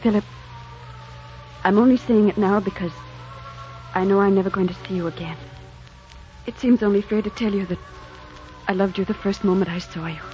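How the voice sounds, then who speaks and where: A woman's voice: mid-range voice, moderate pace, long pauses, a little mellow, soft background music, lightly acted. A woman playing a devoted, despairing young heroine in a 1940s radio drama, earnest and melodramatic. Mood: tender, resigned. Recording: dull 1940s radio recording.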